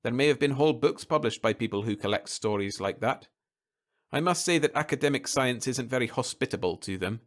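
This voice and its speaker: A man's voice: mid-range voice, expressive, a little fast, lightly acted. A man voicing a sandy-haired, cautious explainer in a story, speaking in a careful academic manner. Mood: measured, cautious.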